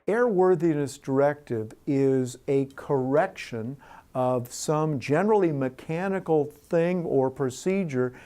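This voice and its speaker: Mellow-voiced man explaining as an expert. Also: on the high side, measured pace. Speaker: expert interviewee. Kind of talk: explanatory interview.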